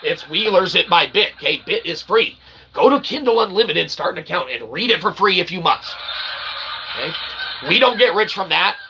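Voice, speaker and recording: A man's voice: high-pitched, animated, moderate pace, vocal fry, a little hiss or hum, low-quality recording. A man hosting his own stream, delivering an emphatic, rambling monologue and tagging nearly every sentence with "okay?". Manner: emphatic, repeats "okay?" constantly; rants with bursts of shouting and laughter. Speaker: channel host.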